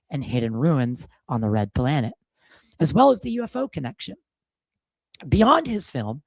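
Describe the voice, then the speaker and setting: A man with a gravelly, slightly hoarse voice, hosting a podcast in loose, casual, swearing chat full of fillers. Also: on the high side, dry recording. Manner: loose and profane, lots of fillers. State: a bit hoarse from a cold. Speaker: podcast host.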